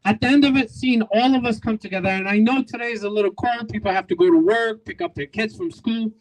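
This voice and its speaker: A man's voice: high voice, quick pace, low-quality recording. A man from Bangladesh giving an emphatic outdoor community speech, rallying the crowd with repetition and jokes. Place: outdoors at a street corner.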